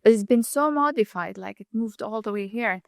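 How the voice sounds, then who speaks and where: A woman's voice: fairly high pitch, a little fast, gravelly, no room sound, clean studio sound. A woman from Saudi Arabia, a chef and food blogger, earnestly explaining at length in an interview and often restarting her sentences. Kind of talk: interview conversation.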